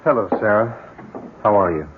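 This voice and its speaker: An actor in a 1970s radio drama playing a considerate, concerned family friend, in muffled broadcast sound. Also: male voice, lowish voice, moderate pace, background noise, close to an everyday voice.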